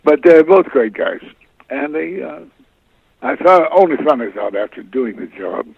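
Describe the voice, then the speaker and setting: Raspy older man on a phone line. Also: fairly high pitch, big pitch swings, no room sound. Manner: rambling, halting, many fillers and restarts. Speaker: guest actor. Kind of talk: relaxed interview storytelling.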